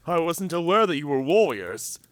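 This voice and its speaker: A man's voice: on the high side, sing-song, normal speed, dry recording. A man playing a wounded, wry, talkative werewolf in human form, theatrical and bantering, in an audio drama. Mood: amused, teasing. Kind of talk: cinematic audio roleplay acting.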